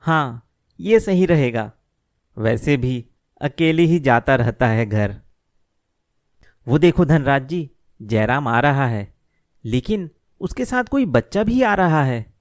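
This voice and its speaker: A man's voice: mid-range voice, animated, slow pace, no echo, clean studio sound. A man voicing a deferential, scheming henchman of a village bully in a cartoon story. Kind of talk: Hindi moral cartoon story dialogue.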